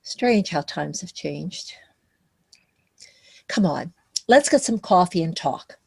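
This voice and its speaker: A woman playing a fond, wry widowed mother who works in banking, speaking in a measured, conversational way in a musical theatre read-through. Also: fairly low pitch, lively intonation, vocal fry, darkish tone, husky, heavily acted. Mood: wistful. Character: widowed banker mother, middle-aged or older, fond and wry. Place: recorded remotely online.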